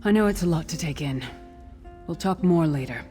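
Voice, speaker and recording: An actress playing a battle-worn, earnest female warrior companion in a fantasy video game, telling things gravely. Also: deep voice, animated, moderate pace, warm tone, soft background music. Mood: resolved. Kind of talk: video game character dialogue.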